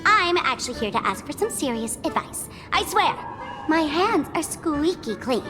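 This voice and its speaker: A mischievous young trickster girl in a video game, teasing and theatrical. Her high voice leaps all over the place with glee. Game music plays behind her. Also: big pitch swings, normal speed. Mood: gleeful. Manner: teasing, playful, sing-song.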